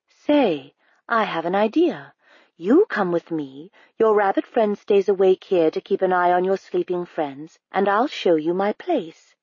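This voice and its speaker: Coaxing and persuasive, this storybook stranger keeps talking a child into following him, voiced by a woman reading aloud. The voice is low and husky, and it comes through a slightly muffled recording. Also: darkish tone, no room sound, exaggerated voice. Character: smooth-talking grown male stranger luring a child.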